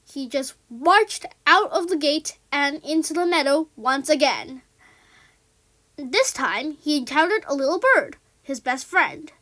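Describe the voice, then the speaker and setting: A woman narrating a scripted children's story in a deliberate, phrase-by-phrase storytelling manner. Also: high voice, lively intonation, bright, forceful tone, a little hiss or hum. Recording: music under the voice.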